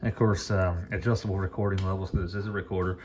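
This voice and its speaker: A man's voice: deep voice, flat delivery, fast talker, over loud music. A man giving a casual, unscripted demo as a hi-fi hobbyist, rambling along in an informal, enthusiastic way. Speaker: vintage hi-fi hobbyist demonstrating gear.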